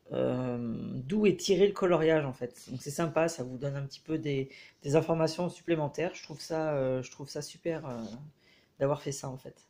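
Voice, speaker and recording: A man with a head cold giving a casual, hesitant review of a book as he leafs through it, pausing often. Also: fairly high pitch, darkish tone. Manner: hesitant, frequent filler pauses.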